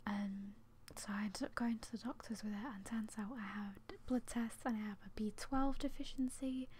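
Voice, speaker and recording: Whispering young British woman, soft ASMR. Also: mid-range voice, steady tone, normal speed, slight background noise. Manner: whispery, hushed, hesitant and apologetic. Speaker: ASMR video host. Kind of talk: soft-spoken ASMR, casual chat to viewers.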